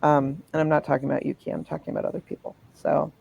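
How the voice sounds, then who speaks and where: A woman running a business, chatting quickly and warmly with her team on a live video call. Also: deep voice, flat delivery, gravelly, lo-fi sound, heavy background noise. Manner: chatty, quick, self-deprecating. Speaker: business owner. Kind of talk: casual live stream chat.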